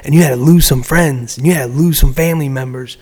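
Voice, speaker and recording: A man's voice: on the high side, fast, darkish tone. A man in casual podcast chat, giving business advice in a rambling way, often repeating words and restarting sentences.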